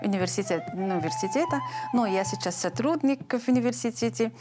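A woman in her thirties from Morocco, an Arabic teacher, speaking earnestly in slightly halting, non-native speech with a lapel mic in a classroom. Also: fairly high pitch, expressive, music in the background. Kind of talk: on-camera interview. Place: indoor classroom.

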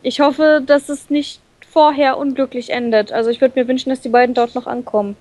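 High-voiced woman chatting casually. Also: fairly even intonation, fairly quick, darkish tone. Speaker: let's play co-reader. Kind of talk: casual game chat.

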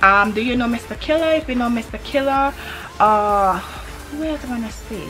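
A woman's voice: fairly high pitch, brisk, a bit bright, faint music behind. A young woman from Grenada with a Grenadian accent, chatting animatedly to the camera in a vlog and repeating her points for emphasis. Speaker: vlogger. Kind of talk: casual to-camera chat. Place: indoors in front of a hanging cloth backdrop. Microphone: camera in front at head-and-shoulders framing.

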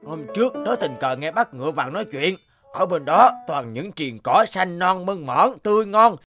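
A man's voice: sits high, lively intonation, normal speed, sharp tone, full character voice. An assured cartoon billy goat acted by a man, determined and persuasive. He speaks in an encouraging manner, with prominent music underneath. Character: confident, persuasive, determined golden male goat. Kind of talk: animated fable acting.

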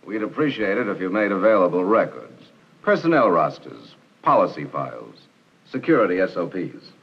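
A man in his forties playing a courteous, methodical army counterintelligence agent, formal and measured, on an old film soundtrack. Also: deep voice, sing-song, slightly rough, dull audio, exaggerated voice. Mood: courteous, assured. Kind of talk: 1960s training film acting. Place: small office and meeting room, indoors.

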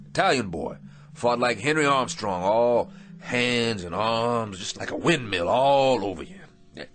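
A man's voice: fairly low pitch, a bit bright. A man playing a battered, weary aging boxer in a radio drama, plainspoken and wistful with an American accent. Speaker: actor. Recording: radio drama with music underneath.

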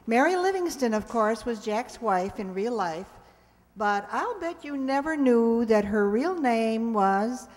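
A woman's voice: a little high, animated, measured pace, a little mellow. An older woman introducing a show to an audience from a stage, chatty and asking questions. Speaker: presenter introducing a community radio-play show. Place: stage in a community hall. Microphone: microphone on a stand, close. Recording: echoing hall sound.

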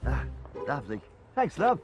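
A man's voice: fairly high pitch, huge pitch swings, moderate pace, highs cut off, voice pushed up. A man voicing a cheeky, stout male cab driver, chuckling and calling people mate and love. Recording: cartoon soundtrack with loud music.

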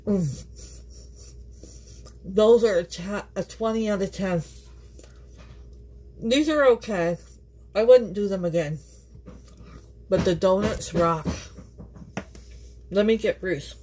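A woman from Canada chats through a home cooking vlog at an easy, unhurried pace, laughing often as she talks to her viewers. Her voice sits in an ordinary middle register. She is recorded in her home kitchen by a camera on the counter, with faint sizzling in the background. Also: mid-range voice, warm tone, slight background noise, low-quality recording. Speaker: home cook, channel host.

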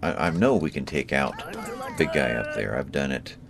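A man's voice: a bass voice, lively intonation, moderate pace, gravelly, a crowd in the background. A man hosting a let's play, casually coaching his partner through tactics. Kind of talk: casual gameplay commentary. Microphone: desktop USB mic.

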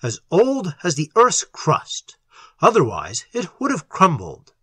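An urgent male scientist from a pulp horror story, acted by a man reader, commanding and emphatic. The voice is raised and sharp, swinging widely as he explains and barks orders. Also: high voice, huge pitch swings, measured pace, breathy edge, no room sound, pitched above the performer's own voice, exaggerated voice. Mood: grave, insistent. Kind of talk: dramatized horror story reading.

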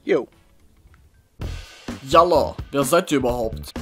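A man's voice: mid-range voice, expressive, takes it slowly, faint music behind. A man of 26 playfully hosting a Q&A video and reading out viewer questions, with a tongue-in-cheek manner. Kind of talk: upbeat scripted Q&A video presenting.

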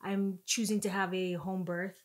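A young woman vlogger telling a story in casual chat, animated and expressive, recorded on a sofa in a living room. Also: medium pitch, normal speed.

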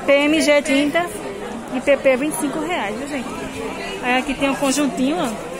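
A woman's voice: high voice, fairly even intonation, normal speed. A woman, filming a casual walk through market stalls on her phone and calling out prices to her viewers. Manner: chatty, points out prices, asks vendors questions. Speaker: vlogger touring a market. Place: busy market stalls, half outdoors. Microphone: handheld phone camera. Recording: loud crowd noise behind. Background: loud market crowd.

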